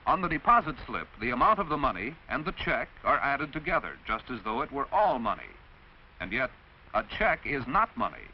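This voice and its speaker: An American man reads a scripted mid-century instructional film narration in a didactic, measured, clearly enunciated way. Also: medium pitch, big pitch swings. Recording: dull, noisy vintage film soundtrack.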